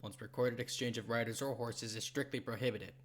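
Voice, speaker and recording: A man's voice: mid-range voice, fairly even intonation, fairly quick, slight background noise, close to an everyday voice. A man playing an officious, unbending registration clerk in a manga dub, reciting rules in a dry, procedural way. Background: music.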